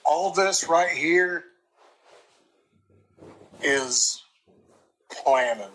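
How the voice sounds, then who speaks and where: A man's voice: on the high side, very slow, a bit bright, rough recording. A man giving blunt, emphatic casual reaction commentary on true crime.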